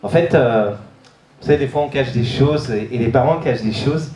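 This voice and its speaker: A man's voice: mid-range voice, moderate pace, mellow. A man from France giving a hesitant personal testimony, with many fillers and restarts. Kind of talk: personal testimony at a church youth event. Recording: echoey room.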